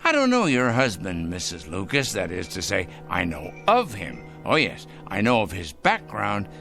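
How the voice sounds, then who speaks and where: A pompous, sharp-voiced self-righteous crank. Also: male voice, deep voice, wildly swooping pitch, moderate pace, vocal fry, soft background music, heavily acted. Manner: pompous, fussy diction with muttered repetitions. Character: obsessive, self-righteous male crank, accusing and condescending. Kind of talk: radio drama acting.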